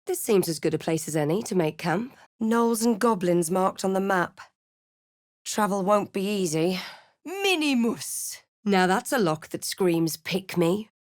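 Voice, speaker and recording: A woman voicing a snarky, brooding adventurer hero in a fantasy video game, deliberate and theatrical with dry wit. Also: medium pitch, lively intonation, no room sound, natural voice. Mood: wry, snarky, then incantation, intense. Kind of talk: fantasy video game voice lines, narration and barks.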